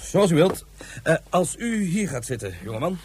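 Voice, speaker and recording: A courteous Martian police inspector. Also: male voice, a little high, sing-song, normal speed, a little mellow, a little hiss or hum. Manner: courteous, formal, hesitant fillers. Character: polite Martian police inspector, middle-aged man. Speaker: inspector and tour guide.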